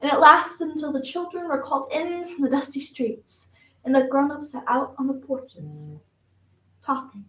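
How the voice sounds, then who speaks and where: A flamboyant Southern belle flapper, acted by a woman in a high-pitched voice, holding forth in a dramatic stage monologue. Her Southern carries a wistful, coquettish theatricality. The sound is distant from the microphone, with plenty of room echo. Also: normal speed, pushed and bright. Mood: wistful reminiscing. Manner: dramatic, swinging from coy reminiscence to defiant outbursts.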